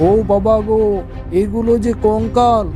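A man's voice: sits high, level tone, a little slow. A man voicing a frightened village labourer in a cartoon, speaking in a fearful, urgent manner. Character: frightened village labourer urging everyone along. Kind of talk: Bengali cartoon voice acting. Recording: music behind the voice.

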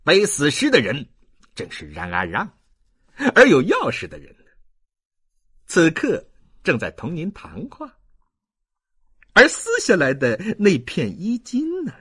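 A man acts out a sly male scoundrel from an audiobook, peddling secrets. His voice is very rough and husky, swooping widely in pitch as he wheedles and insinuates in a halting, persuasive way. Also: wildly swooping pitch, a bit bright, heavily acted. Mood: smug, persuasive. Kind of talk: audiobook character acting.

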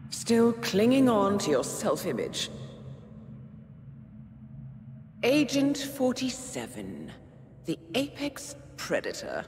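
A woman playing a cool, calculating intelligence handler in a video game, speaking in measured, formal phrases. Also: mid-range voice, wildly swooping pitch. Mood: taunting, cold. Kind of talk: dramatic video game cutscene acting. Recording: long hall reverb under loud music.

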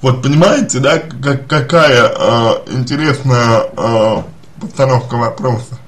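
Slow, halting man giving advice. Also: mid-range voice. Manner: halting, deliberate, repeats and rephrases phrases. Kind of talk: spoken advice to a listener, unscripted.

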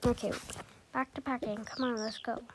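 A woman's voice: on the high side, fairly even intonation, fairly quick, slight background noise, close to an everyday voice. A woman voicing an easygoing, soothing mother with a hesitant manner in an amateur dollhouse game roleplay. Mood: calm. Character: easygoing mom calming her kids while packing.

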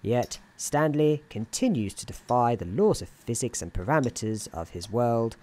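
Soft-spoken man narrating with lively intonation. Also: medium pitch. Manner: dryly wry, deliberate storybook narrator addressing his character. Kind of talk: soft-spoken ASMR roleplay, scripted narration. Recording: keyboard typing and clicking noise. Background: keyboard typing, clicks.

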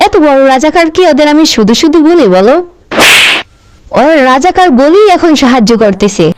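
A woman's voice: sits high, lively intonation, moderate pace, a little hiss or hum, rough recording. A woman voicing a bossy, vindictive grandmother politician in a comic cartoon, nagging and sulking as she makes demands. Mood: spiteful.

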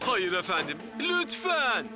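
High-voiced, nervous junior fireman. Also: sing-song, slow, full character voice. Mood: flustered. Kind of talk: animated children's cartoon dub. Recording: music behind the voice.

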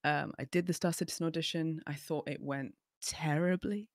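Expressive woman, dry close mic. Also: low-pitched, normal speed, no room sound. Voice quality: expressive, animated storyteller. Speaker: guest. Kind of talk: casual podcast chat. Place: home room, indoors. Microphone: studio mic on a boom arm, video call.

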